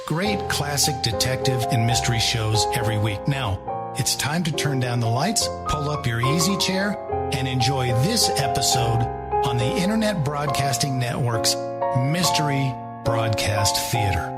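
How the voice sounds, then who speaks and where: A man's voice: mid-range voice, lively intonation, measured pace. A man hosting a show, reading scripted promotional narration. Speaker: show host reading a sponsor spot. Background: loud music.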